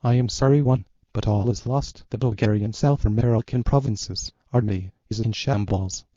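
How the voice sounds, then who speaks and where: A man's synthetic voice playing a defeated president, flat and resigned. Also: lowish voice, normal speed, dry recording, muffled recording, lightly acted. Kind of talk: synthetic voice in a fan scenario video.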